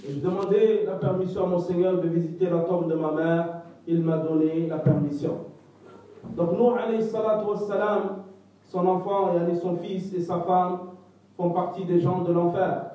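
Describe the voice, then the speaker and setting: A man's voice: high voice, fairly even intonation, normal speed, mellow, very echoey, far from the mic, poor audio. A man whose first language is not French, giving a religious lesson that relays a scholar's answers, and often stopping to search for the right French words. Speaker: religious teacher.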